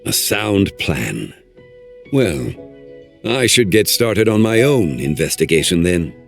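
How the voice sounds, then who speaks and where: A man voicing an ancient male guardian in a video game, speaking in a formal, measured, old-fashioned way. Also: low voice, very animated, gravelly, soft background music, exaggerated voice. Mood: grave.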